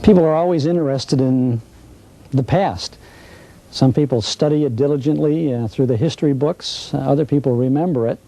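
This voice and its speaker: Dark-voiced, gravelly American man. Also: mid-range voice, normal speed, low-quality recording. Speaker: radio broadcaster. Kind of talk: reflective interview talk.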